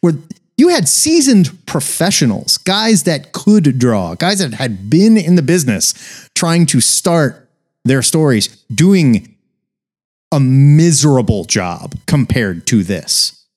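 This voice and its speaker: A man's voice: on the high side, sing-song, slow, darkish tone. An American man in his forties chatting casually as a podcast co-host, with an emphatic, informal way of talking. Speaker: podcast co-host reviewing comics. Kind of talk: casual chat.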